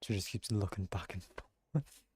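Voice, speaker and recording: Low, mellow man commenting on a game. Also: a little slow, slightly rough. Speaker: game streamer commenting on gameplay. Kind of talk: casual gameplay commentary.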